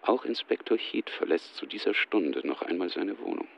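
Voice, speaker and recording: A man narrates scenes for a radio play in a very deep, creaky voice. He reads in a restrained, matter-of-fact manner. The sound is slightly dull. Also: lively intonation, moderate pace, loud music behind the voice. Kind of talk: scripted present-tense radio play narration.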